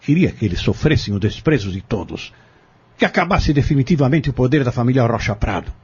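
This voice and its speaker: A slightly dull recording of an actor as a vengeful male schemer on the witness stand. The voice is very raspy and mid-pitched, swinging widely as he coldly lays out his plot in an audio drama. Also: expressive, brisk, darkish tone. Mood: cold, bitter.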